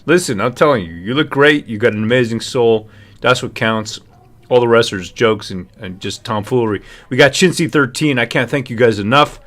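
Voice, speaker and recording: A man's voice: medium pitch, normal speed, fairly bright tone. A man hosting a livestream, chatting loosely and informally in a jokey, rambling way that repeats itself. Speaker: livestream host. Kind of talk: loose, joking casual chat.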